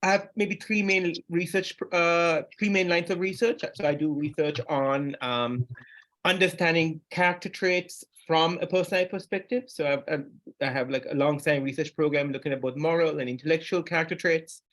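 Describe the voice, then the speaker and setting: A man's voice: on the high side, fairly even intonation, normal speed, fairly bright tone. A middle-aged man from Sri Lanka giving an academic seminar lecture on psychology, speaking with frequent restarts and rising emphasis.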